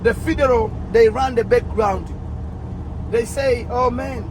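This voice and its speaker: A man's voice: very high voice, unhurried. A middle-aged man from Tanzania with a Tanzanian accent, telling personal stories casually and repeating phrases for emphasis. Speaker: truck driver telling his experience. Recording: noisy outdoor urban traffic noise.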